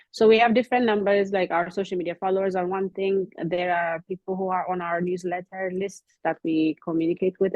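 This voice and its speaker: A woman's voice: on the low side, darkish tone, no echo. Woman giving hair advice over a video call. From Ethiopia. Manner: earnest, explanatory, with many ums. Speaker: founder of a hair care platform, guest. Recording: dull, slightly lo-fi call audio.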